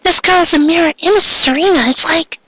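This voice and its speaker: A man voicing a polite, earnest schoolgirl in an eager, dainty falsetto for a story reading. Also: quick pace, sharp tone, dry recording, poor audio. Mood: flustered.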